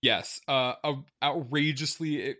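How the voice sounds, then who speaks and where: A man's voice: fairly high pitch, fairly even intonation, unhurried, pushed and bright. A man chatting casually as part of a podcast conversation.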